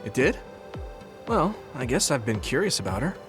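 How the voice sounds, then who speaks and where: Man acting an earnest young soldier, animated pitch. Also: moderate pace, music in the background, close to an everyday voice. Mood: friendly, sincere. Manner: sincere, hesitant, easily flustered. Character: earnest, awkward, kind young male soldier. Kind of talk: anime game voice acting.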